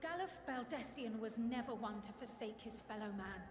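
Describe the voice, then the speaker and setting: A woman's voice: on the high side, animated, moderate pace, natural voice. A woman playing an earnest, loyal and defiant young woman in a game cutscene, speaking with heartfelt emphasis. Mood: impassioned. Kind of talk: video game cutscene acting. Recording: echoey room, music underneath.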